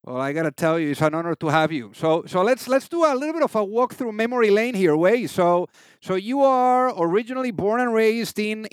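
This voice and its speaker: A man's voice: sits high, clear, resonant tone, dry recording. A man hosting an interview show, introducing his guest with enthusiasm. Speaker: podcast host.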